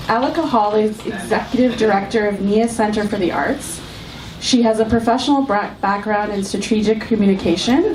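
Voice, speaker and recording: A woman moderates a panel in a brisk, businesslike way, keeping time and reading the next speaker's bio aloud. Her mid-pitched voice comes from well away from the microphone in a roomy, echoing space, over a clear hiss. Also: darkish tone. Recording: echoey room, mic from far off, audible hiss.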